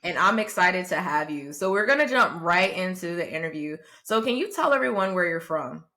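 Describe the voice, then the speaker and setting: A woman's voice: on the low side, expressive, brisk, bright, forceful tone. A woman hosting an interview podcast, asking chatty questions with some restarts. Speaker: podcast host.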